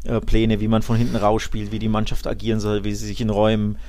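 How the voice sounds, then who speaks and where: A man's voice: on the low side, monotone, fast talker, darkish tone. A man co-hosting a football podcast, giving thoughtful analysis and weighing his points with fillers and asides. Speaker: podcast co-host.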